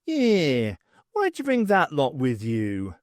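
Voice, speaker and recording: A man's voice: mid-range voice, wildly swooping pitch, slow pace, dry recording, studio quality. A man voicing a sly, cheeky pantomime vizier in an audiobook, with an oily, colloquial manner. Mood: disappointed.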